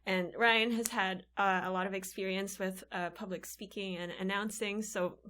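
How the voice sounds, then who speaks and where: A woman's voice: mid-range voice, steady tone, moderate pace, fairly bright tone. A woman from the Philippines co-hosts a casual podcast. She speaks earnestly and encourages her listeners. She is recording in a library studio. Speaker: podcast co-host.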